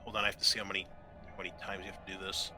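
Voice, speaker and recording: Male game master, crisp and forward voice, informal, thinking out loud, faint music underneath. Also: sits low, level tone, very fast. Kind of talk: running a tabletop roleplaying game. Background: quiet game soundtrack music.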